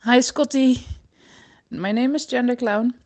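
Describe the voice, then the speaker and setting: A caller from the Netherlands with a Dutch accent leaves a voice message, rambling in an anxious, self-deprecating way. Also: female voice, on the high side, measured pace, heavy background noise.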